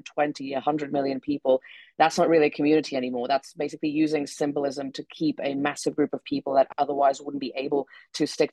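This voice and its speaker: Czech woman, british accent, chatty and quick with lots of fillers, steady tone, dry close sound. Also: low-pitched, dry recording, low-quality recording. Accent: British English. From Czech Republic. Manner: quick, chatty, with many "kind of" and "like" fillers and frequent laughs. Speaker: guest, researcher. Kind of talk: casual interview chat. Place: remote call from home.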